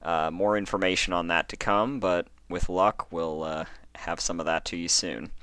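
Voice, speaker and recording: Low-voiced man, informal storyteller. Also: fairly even intonation, normal speed, a bit bright, smooth, no echo. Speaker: podcaster and fan fiction reader. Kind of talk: informal introduction and story narration.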